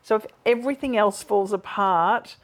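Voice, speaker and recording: A woman's voice: mid-range voice, moderate pace. A woman speaking as a podcast guest, giving direct, emphatic practical advice in conversation.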